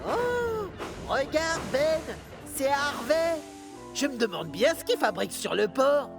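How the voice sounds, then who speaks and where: A high, cheeky young cartoon engine. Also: male voice, very animated, slow, creaky, music in the background, exaggerated voice. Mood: mocking. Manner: mocking, giggly. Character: cheeky, mischievous young twin tank engines, teasing. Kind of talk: French cartoon dub.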